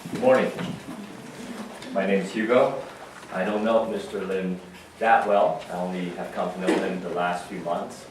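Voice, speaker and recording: Man, low pitch, pastor, conversational memorial sermon, halting and reflective, heavily echoing room. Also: fairly even intonation. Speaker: pastor giving a funeral message. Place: large reverberant room at a memorial service. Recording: very echoey hall, distant, faint noise.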